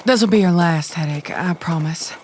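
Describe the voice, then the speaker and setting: Woman acting a coaxing, low-voiced motherly schemer. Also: normal speed, a little mellow, slight background noise, natural voice. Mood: soothing, reassuring. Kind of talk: audio drama acting.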